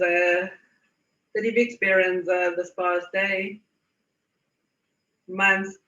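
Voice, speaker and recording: A woman leading a guided meditation in a soothing manner, with unhurried pauses and repeated phrases. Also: mid-range voice, sharp tone. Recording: muffled, echoey, distant from mic.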